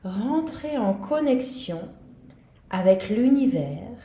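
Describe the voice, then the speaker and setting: A woman guiding a yoga nidra meditation in a calm, gentle, soothing manner. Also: mid-range voice, takes it slowly, echoey room. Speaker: meditation guide.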